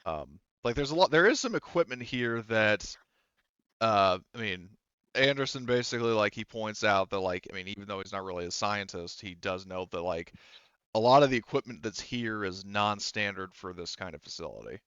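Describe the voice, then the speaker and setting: Bright, low-voiced man running a tabletop game. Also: lively intonation, moderate pace, clear, resonant tone, no room sound, lo-fi sound. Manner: hesitant, conversational, hedging. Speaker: game master. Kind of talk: improvised tabletop game narration.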